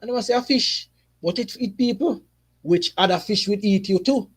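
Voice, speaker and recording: A man's voice: sits high, moderate pace, slightly rough. A young Jamaican man with a Jamaican accent, casually chatting about football in patois-flavored talk. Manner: patois-flavored, chatty, frequent "you see me". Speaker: football channel host.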